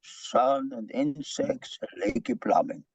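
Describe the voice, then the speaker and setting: A man playing a gloomy, self-pitying, excitable Austrian psychiatrist in a play reading, lamenting dramatically in broken English with an Austrian accent. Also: medium pitch, takes it slowly, vocal fry, husky, no echo, exaggerated voice, rough recording. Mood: despondent.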